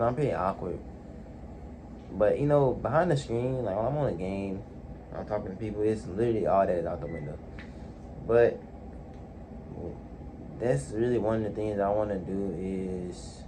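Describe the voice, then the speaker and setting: A man's voice: on the low side, darkish tone, slight background noise, poor audio. A man in his late teens talking alone in his bedroom, hesitant, full of fillers and restarts. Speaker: vlogger. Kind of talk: casual solo talk to camera.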